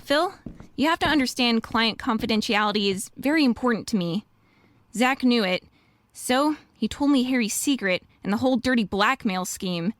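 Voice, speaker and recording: A woman's voice: fairly high pitch, lively intonation, moderate pace, no echo, lightly acted. A woman playing an earnest, admiring female wolf lawyer in a radio drama, speaking in an eager, articulate way. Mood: earnest, confiding.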